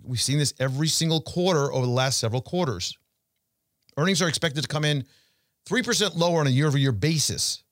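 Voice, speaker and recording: A man's voice: a little high, a bit bright, no room sound. Emphatic man talking money, dry close sound. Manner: emphatic, rhetorical questions, deliberate pauses. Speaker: financial show host and portfolio manager. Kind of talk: conversational investment commentary addressed to listeners.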